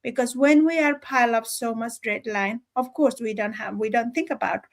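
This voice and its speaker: A woman from Nepal with a Nepali accent, giving an informal motivational talk in an earnest, emphatic, halting way, often repeating phrases. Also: fairly high pitch. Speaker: livestream speaker.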